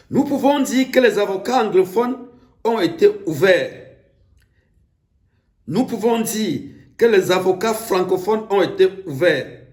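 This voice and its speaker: A man with a Cameroonian accent giving an emphatic, declamatory political speech, with deliberate pauses. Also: high voice. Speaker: political speaker. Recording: echoey room.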